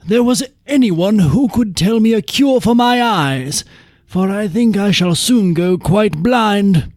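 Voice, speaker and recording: A man performs a blustery old storybook king full of grief. The voice is pushed high and stretches words out slowly in theatrical complaint, in a dry, close recording. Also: lively intonation, darkish tone, no room sound. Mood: grieving. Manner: plaintive, theatrical, drawn-out complaining. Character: grieving, blustery old king with failing eyes. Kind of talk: folk tale character voice.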